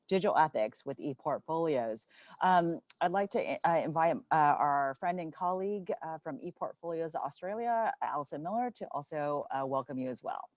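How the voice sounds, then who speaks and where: A woman's voice: sits low, darkish tone, no room sound. A woman hosting a webinar session over a video call, speaking in a friendly way with many fillers. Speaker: webinar host, board member. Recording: dull lo-fi call audio.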